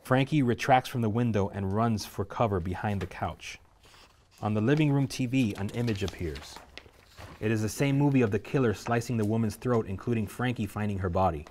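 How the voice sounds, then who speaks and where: A man reading the stage directions aloud at a script table reading, steady and measured. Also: on the low side, a little hiss or hum.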